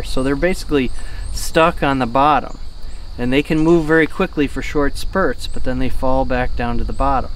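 A middle-aged man, a fishing guide explaining flies calmly to camera by a river. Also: medium pitch, slight background noise, low-quality recording. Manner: calm, plain explanatory delivery with fillers. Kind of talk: outdoor instructional talk to camera. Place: outdoors by a river. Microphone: camera at close range.

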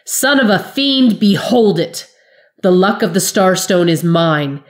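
A woman voicing a fierce shouting warrior queen. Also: fairly low pitch, animated, slow. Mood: defiant, scornful. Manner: shouting, defiant. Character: fierce triumphant warrior woman, proud and scornful.

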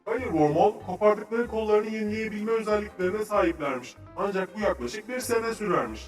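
A quick-talking, cheerful cartoon kid played by a performer, eagerly and clearly explaining nature facts. The voice is roomy and slightly distant, with faint music behind. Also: mid-range voice, lively intonation, lightly acted. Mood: helpful, instructive. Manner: instructive, enthusiastic, articulate. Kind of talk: children's educational cartoon dialogue.

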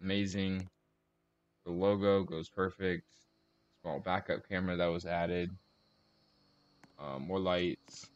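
A man giving casual video commentary as host of a car tour, speaking in halting short phrases and correcting himself. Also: deep voice, steady tone, smooth, muffled recording.

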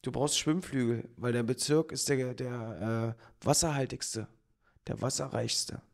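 A man's voice: mid-range voice, unhurried, creaky. A young man with a Berlin accent, co-hosting a casual podcast in a studio, telling jokey stories into a handheld mic. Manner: joking, animated storytelling. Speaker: podcast co-host. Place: studio with green plant wall. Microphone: handheld dynamic mic.

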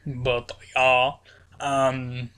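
A woman's voice: very deep voice, little pitch movement, very slow, a bit bright, clear, resonant tone. A woman with a cold, her voice cracking, giving playful, rambling commentary over a video game with lots of fillers. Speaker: gaming channel host.

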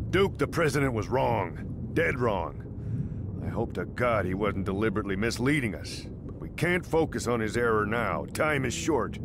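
An actor playing a grave, earnest middle-aged military general giving an urgent, commanding briefing in a video game. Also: male voice, lightly acted. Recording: rumbling engine noise behind.

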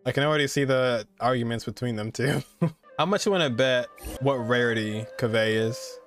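Male game streamer, twenties, medium-pitched, informal off-the-cuff commentary with soft music in the background. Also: moderate pace. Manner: informal, slangy. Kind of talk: casual live reaction commentary. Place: indoor streaming setup, game audio behind.

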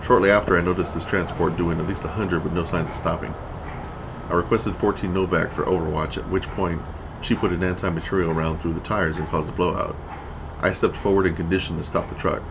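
Deep, gruff checkpoint commander, played by a man, clipped military delivery, audio drama with clear ambient noise. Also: steady tone, brisk. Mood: businesslike, reporting. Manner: curt, military radio phrasing. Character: gruff male checkpoint captain, commanding and short-tempered. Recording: noisy vehicle and outdoor ambience behind.

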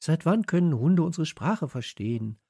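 A man's voice: a little high, lively intonation, normal speed, mellow, husky, no echo, studio quality, voice pushed up. A man voicing an assertive, sceptical young girl in a pert, challenging way for a children's audiobook.

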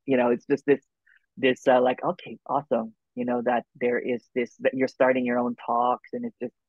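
A man's voice: medium pitch, completely flat delivery, normal speed, no room sound. A chatty man in his thirties talks on a video call, piling up "kinda like" and "you know" and slipping in jokes. The webcam audio sounds dull and lo-fi, and very dry. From Philippines. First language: Filipino. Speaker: non-duality speaker and YouTuber. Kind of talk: casual video call chat. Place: room with a gray wall indoors.

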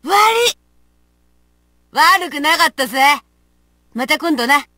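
A woman voicing a brash, rough-talking young tomboy warrior in a video game, with slangy, masculine phrasing. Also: high-pitched, slow pace, bright, forceful tone, dry recording, studio quality, heavily acted. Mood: cocky, fierce. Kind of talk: video game battle voice lines.

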